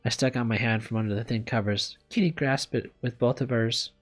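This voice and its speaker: A man's voice: mid-range voice, fairly even intonation, a little fast. Man reading a visual novel aloud. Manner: stumbles and rereads words. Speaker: let's player. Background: music.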